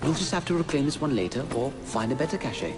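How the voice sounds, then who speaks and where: A man's voice: mid-range voice, animated, quick pace, close to an everyday voice. A man playing a polite, bashful and bookish assassin in a video game, speaking in a courteous, hesitant way. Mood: hesitant, flustered. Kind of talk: video game cutscene acting. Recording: loud music behind the voice.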